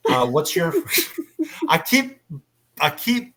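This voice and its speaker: A man hosting a casual fan panel discussion, speaking enthusiastically but haltingly with frequent pauses. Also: high-pitched, huge pitch swings, a bit bright. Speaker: panel host.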